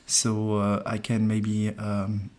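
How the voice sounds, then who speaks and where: Slow, hesitant man. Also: on the low side, monotone. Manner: soft-spoken, hesitant, thinking aloud. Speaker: numerologist host. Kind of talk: casual live-stream reading.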